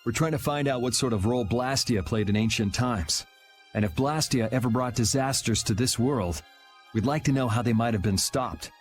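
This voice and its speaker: A man's voice: medium pitch, moderate pace, faint music behind, natural voice. Laid-back young hero, acted by a man. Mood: earnest. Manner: casual, direct. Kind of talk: video game voice acting.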